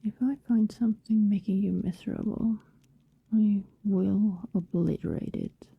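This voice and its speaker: A woman's voice: medium pitch, steady tone, no echo, phone-quality sound, full character voice. Woman playing a whispering, seductive vampire. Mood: tender, wistful. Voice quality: breathy, mostly whispered. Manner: slow, lingering, soothing delivery. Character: tender, possessive female vampire, seductive and wistful. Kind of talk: intimate ASMR roleplay acting. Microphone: very close, dry microphone.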